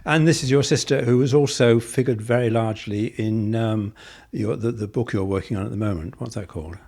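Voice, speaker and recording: A man's voice: fairly low pitch, a little fast, a little mellow. A man hosting an arts radio programme, introducing items and interviewing a guest.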